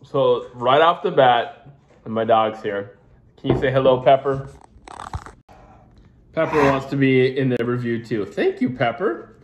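A man's voice: medium pitch, animated, measured pace, long pauses, highs cut off, slight background noise. A man, casually reviewing coffee in his home kitchen and rambling as he works, recorded on a phone camera. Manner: rambling, restarts often, talks while working.

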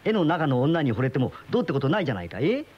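An actor playing an uneasy middle-aged husband in a radio mystery, with brooding, dramatic storytelling. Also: male voice, on the high side, sing-song, very fast, slightly rough. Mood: defensive. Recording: muffled old radio drama recording, music behind.

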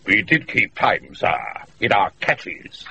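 An actor playing a drunken, jovial older knight with a British accent in a Shakespeare radio play, taped off the air. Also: male voice, fairly low pitch, big pitch swings, slow pace, sharp tone, exaggerated voice. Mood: drunken bluster. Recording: noisy off-air radio recording.

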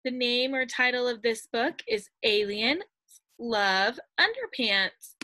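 A middle-aged woman reading a picture book aloud to young children like a teacher, expressive and sing-song, drawing out the ends of lines. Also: fairly high pitch, no echo, low-quality recording. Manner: expressive, sing-song storytelling with drawn-out line endings. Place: indoors at a computer, screen-share video.

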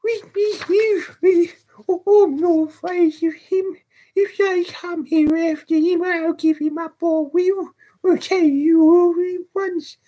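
A man voicing a frantic, defiant young boy in a storybook, with a shrill, piercing, screaming delivery. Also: steady tone, slow, poor audio. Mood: defiant, excited. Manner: shouting, screaming in desperation.

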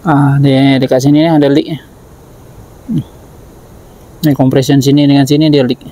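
A man's voice: mid-range voice, level tone, moderate pace, mellow, rough recording. A man with a Malaysian Malay accent, a mechanic giving plain, matter-of-fact commentary while he works on an engine in a car workshop. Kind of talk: casual work commentary.

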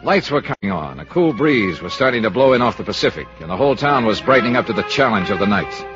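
A man's voice: medium pitch, expressive, fast talker, close to an everyday voice. A man acting a tough, cynical American insurance investigator in 1950s radio style, clipped and sardonic. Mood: dry narrating. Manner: clipped, sardonic, hard-boiled. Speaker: lead actor and narrator. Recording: muffled old radio recording with music.

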